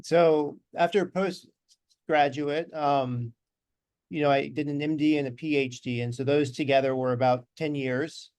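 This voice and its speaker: A man in his thirties, a physician guest on a video call, explaining in a measured way with some fillers. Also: on the high side, fairly even intonation, a little mellow, clean tone, no echo. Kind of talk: interview.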